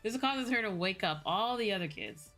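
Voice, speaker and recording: A woman with a Midwestern American accent telling stories casually as a livestream host, in an animated, chatty manner. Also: mid-range voice, normal speed. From Midwestern United States. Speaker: streamer and VTuber host. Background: background music.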